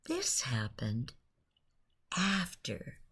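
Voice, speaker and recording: A woman narrating a guided bedtime story in a hushed, soothing way, with long pauses and a breathy, near-whispering voice. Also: very deep voice, wildly swooping pitch.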